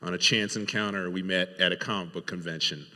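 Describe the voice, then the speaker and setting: A man who writes for television, telling stories casually on a panel in a halting, self-deprecating way. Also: deep voice, steady tone, bright, forceful tone. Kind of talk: casual storytelling. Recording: echoey hall with long reverb.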